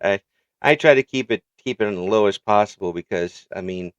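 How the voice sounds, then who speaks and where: A man's voice: lowish voice, brisk, clear, resonant tone, dry recording, lo-fi sound. A middle-aged man hosting a casual interview, joking and self-mocking with frequent laughs. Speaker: interview host.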